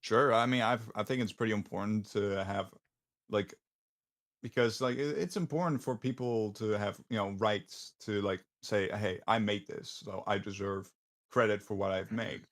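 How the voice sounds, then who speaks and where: A low-voiced guy you would hear as a guest in a relaxed chat show between online friends. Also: moderate pace. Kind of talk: casual chat.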